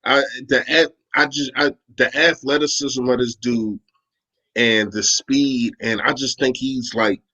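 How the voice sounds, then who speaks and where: A man co-hosting a postgame sports talk show, animated, stumbling over his words and restarting. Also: mid-range voice, unhurried, low-quality recording. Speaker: sports podcast co-host.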